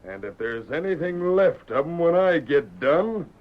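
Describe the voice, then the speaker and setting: An actor playing a bullying, hot-tempered ranch boss villain in an old radio western, blustering and barking. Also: male voice, a little high, big pitch swings, measured pace. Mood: boastful. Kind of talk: 1940s radio western acting. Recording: dull old radio recording with hiss.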